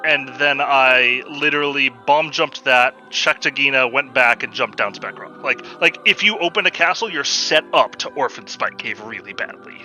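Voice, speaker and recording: A man with a loud voice, a racer chatting casually after a race and retelling his own thoughts with animation. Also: medium pitch, moderate pace, few pauses, faint music behind, low-quality recording. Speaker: tournament racer. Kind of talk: casual post-race chat.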